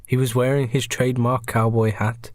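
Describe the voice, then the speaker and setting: A man hosting a podcast, narrating an improvised comic story in a deadpan storybook manner. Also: medium pitch, normal speed, no room sound, studio quality.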